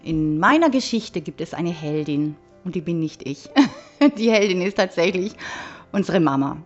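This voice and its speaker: A woman's voice: on the low side, lively intonation, normal speed, highs cut off, soft background music. A woman in her fifties from southern Germany, giving an earnest, reflective personal testimony as an interviewee. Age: 50s.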